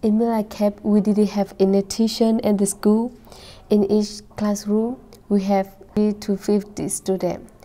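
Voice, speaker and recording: A teenage girl from Burma reads her personal story as a high school student, in careful, simple phrasing. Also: little pitch movement, unhurried, warm tone. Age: late teens.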